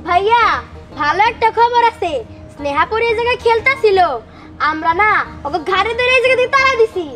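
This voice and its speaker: Cartoon little girl, voiced by a woman: extremely high, bossy and teasing, music underneath. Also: lively intonation, brisk. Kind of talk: cartoon voice acting. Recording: music behind the voice.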